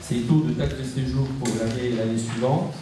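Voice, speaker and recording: A man presenting a report to a council as vice-president, formally but haltingly, with frequent pauses and repeated words. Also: medium pitch, steady tone. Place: large indoor council meeting room. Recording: echoey council hall, distant mic, faint noise.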